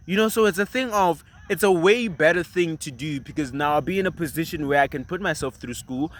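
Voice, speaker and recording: Bright-voiced animated young man. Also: sits high. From South Africa. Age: 20s. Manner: animated, emphatic, persuasive, slangy. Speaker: vlogger. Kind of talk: casual motivational monologue.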